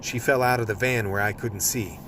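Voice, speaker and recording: A man's voice: on the low side, brisk, far from the mic, heavy background noise, close to an everyday voice. A man playing a tough, gun-toting action hero who narrates his story in a gritty, colloquial radio play style. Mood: gritty recounting. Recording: music bed under the voice.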